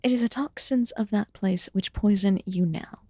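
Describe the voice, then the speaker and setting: A woman playing an ancient, aloof fae in a fantasy ASMR roleplay, murmuring softly and formally with some whispered phrases. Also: mid-range voice, no echo, natural voice. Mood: amused, patient. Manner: slow, deliberate, archaic formal wording. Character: ancient aloof female fae recluse, haughty yet quietly caring.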